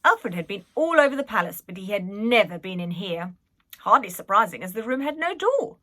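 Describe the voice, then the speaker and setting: A woman reads a children's storybook aloud. Her voice is bright and very lively in pitch, and she tells it dramatically, leaning into the suspense. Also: fairly quick. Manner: animated, dramatic, with whispered suspense. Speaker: reader, school staff member.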